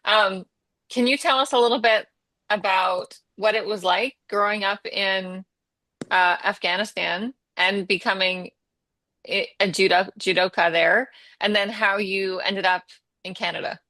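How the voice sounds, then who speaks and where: A woman's voice: mid-range voice, fairly even intonation, unhurried, pushed and bright, no room sound. A woman co-hosting a podcast, asking friendly, slightly hesitant questions. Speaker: podcast co-host.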